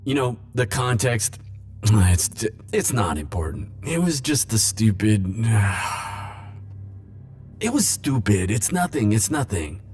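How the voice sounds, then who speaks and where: A man playing a defensive, lovelorn immortal in an ASMR roleplay, speaking hesitantly with stammers and halting phrases. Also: on the low side, sing-song, darkish tone, soft background music. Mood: evasive, awkward. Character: flirtatious, defensive male immortal pining for Grim Reaper. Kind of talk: ASMR roleplay monologue to the listener.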